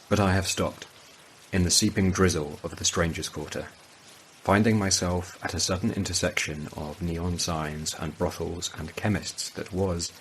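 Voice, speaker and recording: An actor playing a haunted man trapped in a malevolent city, speaking a measured, brooding horror audio drama monologue. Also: sits low, a little hiss or hum.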